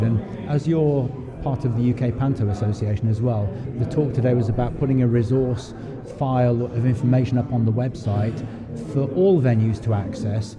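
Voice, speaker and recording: A man interviewing for a podcast in a conversational style, leading at length into his questions. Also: medium pitch. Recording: dull sound, busy crowd behind. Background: crowd chatter at an event.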